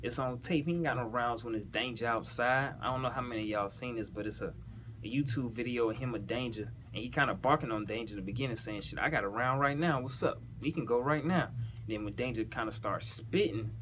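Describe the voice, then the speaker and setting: A man's voice: medium pitch, fairly quick, fairly bright tone, a little hiss or hum. A man with a Southern US accent chatting casually and slangily as he explains rap bars, often checking that the listener follows. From South Carolina, US. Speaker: battle rapper breaking down their own bars.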